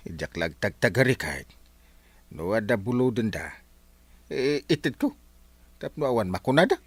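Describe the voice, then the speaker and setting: A man's voice: lowish voice, very animated, moderate pace, husky, no echo, full character voice. Wildly swooping Ilocano village captain, played by a man. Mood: exasperated. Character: blustering village captain with easy comic exasperation. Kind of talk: Ilocano comedy radio drama acting.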